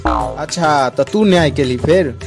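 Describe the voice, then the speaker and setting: A man's voice: fairly high pitch, lively intonation, measured pace. A man voicing a melodramatic, scolding and sarcastic young creditor demanding his money in a comic cartoon. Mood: mocking. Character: blustering, melodramatic young male moneylender friend. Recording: clear music behind the voice.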